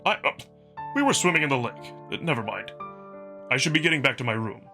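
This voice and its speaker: A man's voice: medium pitch, sing-song, brisk, pushed and bright, faint music behind. A man voicing a sullen, embarrassed male wolf prince in a visual novel, speaking in a curt, weary manner. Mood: sullen, awkward.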